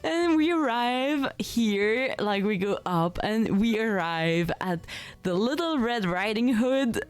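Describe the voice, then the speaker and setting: A woman's voice: a little high, expressive, takes it slowly, smooth. Casual, chatty woman giving a game tour. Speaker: gaming content creator giving a tour.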